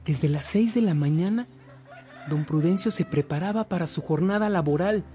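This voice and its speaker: A man's voice: a little high, moderate pace, mellow. A man narrating a radio drama from a script, as a storyteller. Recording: background music under the voice.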